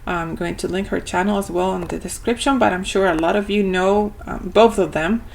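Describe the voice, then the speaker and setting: A woman's voice: mid-range voice, a little fast, creaky, a little hiss or hum, poor audio. Argentine Spanish-accented woman chatting casually. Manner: chatty, with frequent ums and self-corrections. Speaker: homeschooling parent reviewing a curriculum. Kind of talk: casual product walkthrough.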